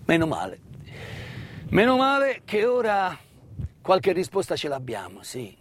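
A man delivers a theatrical monologue as a storyteller, solemn and emphatic, with deliberate pauses and repetitions. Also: high-pitched, wildly swooping pitch, slight background noise. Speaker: stage actor and storyteller.

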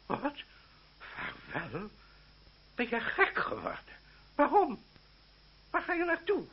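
An actor playing a hot-tempered, gruff older aristocratic uncle in a radio drama, stammering and exclaiming as he blusters. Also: extremely high pitch, huge pitch swings, slow pace, exaggerated voice. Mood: indignant, blustering. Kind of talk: Dutch radio drama acting. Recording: muffled, noisy, humming old radio recording.

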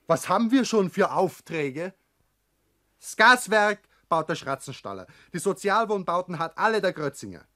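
An actor with a Bavarian accent playing a builder's ambitious, rebellious grown son in a 1960s German radio drama, blunt and reproachful. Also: male voice, sits high, big pitch swings, moderate pace, bright, forceful tone, exaggerated voice. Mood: scornful. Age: young adult.

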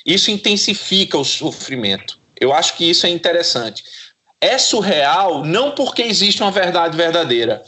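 Brazilian man, academic interview guest. Slightly high, sharp-edged tone, reflective and philosophical delivery. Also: sing-song, normal speed. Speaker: psychologist and professor, interview guest.

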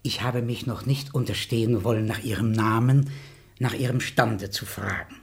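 An actor plays a fifty-year-old country baron in a radio play, with a middle-pitched voice. He speaks with effusive courtesy. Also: steady tone, normal speed, vocal fry, close to an everyday voice. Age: 50s. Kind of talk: radio drama acting.

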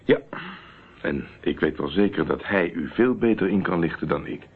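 Radio play role: composed private investigator, low voice, direct and reassuring, thin phone-like archive sound. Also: male voice, lively intonation, normal speed, breathy edge. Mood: courteous, guarded. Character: calm, blunt, courteous male private investigator. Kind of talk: Dutch radio drama acting. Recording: narrow, tinny phone-like old radio recording.

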